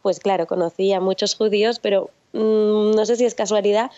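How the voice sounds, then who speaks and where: Smooth-voiced woman radio presenter. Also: mid-range voice, fairly quick, no echo. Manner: explanatory, relaxed with fillers. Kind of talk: conversational radio chat. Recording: dry, dull lo-fi sound.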